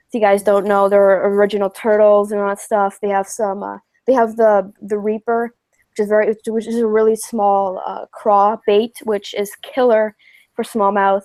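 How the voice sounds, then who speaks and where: A woman's voice: medium pitch, a little mellow, no room sound. A woman in her late teens talking casually as a guest on a live stream. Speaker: fishing YouTuber, stream guest. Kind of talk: casual chat.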